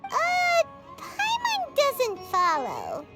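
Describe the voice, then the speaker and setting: A woman's voice: very high-pitched, huge pitch swings, very slow, full character voice. A woman voicing a tiny, cheerful childlike fairy companion in a video game, speaking in an exclamatory way. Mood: puzzled. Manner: talks about self in third person, exclamatory. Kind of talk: video game character acting. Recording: game music behind.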